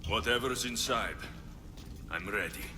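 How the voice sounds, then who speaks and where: An actor playing a wry, brave mercenary in a video game, understated and confident. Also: male voice, lowish voice, a little slow, bright, forceful tone, a little hiss or hum. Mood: wary, resolute. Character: wry, brave Greek mercenary warrior, skeptical and capable. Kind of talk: video game cutscene acting.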